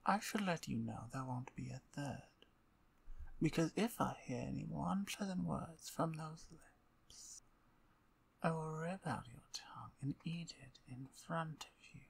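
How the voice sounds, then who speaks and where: A woman playing a menacing, playful vampire usurper in an ASMR roleplay, domineering and seductive, with breathy speech that often drops toward a whisper. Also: low-pitched, full character voice. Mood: cold, threatening. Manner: slow, deliberate, domineering, teasing.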